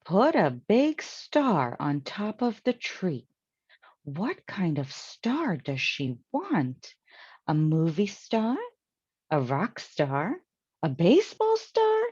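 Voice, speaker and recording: A woman's voice: lowish voice, huge pitch swings, slow, a little mellow, breathy edge, no echo, lo-fi sound, exaggerated voice. A woman voicing a cheerful, naive, literal-minded housekeeper from a children's storybook, earnest and exclamatory. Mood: musing, upbeat.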